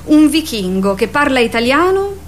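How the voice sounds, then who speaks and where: A woman's voice: sits high, sing-song, normal speed, slight background noise. An actress playing a sceptical, teasing young girlfriend in a radio play, with dry, curt replies. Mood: mocking, doubting. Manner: dry, doubting, curt interjections. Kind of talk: radio theatre acting.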